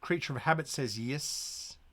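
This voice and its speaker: A man narrating a gamebook as game master on a live stream, with lively asides and pirate growls. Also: mid-range voice, measured pace.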